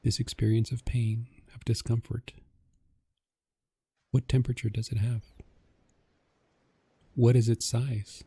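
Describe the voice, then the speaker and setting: Male meditation guide: husky and deeply relaxed, soft, calm, measured instruction. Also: no room sound. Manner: gentle, calming, measured instruction.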